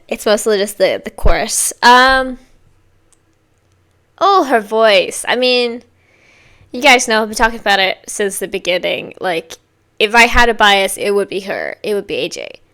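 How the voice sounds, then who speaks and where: Woman reaction host, mid pitch, loose chatty delivery full of "like", gushing bursts. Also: very animated, a little slow, long pauses, bright, forceful tone. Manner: chatty, rambling, many likes and you-know-what-I-means. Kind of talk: casual music reaction commentary.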